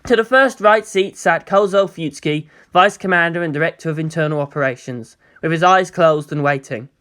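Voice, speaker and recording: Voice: mid-range voice, brisk, fairly bright tone. A narrator reading a scripted story aloud as an audiobook of fan fiction.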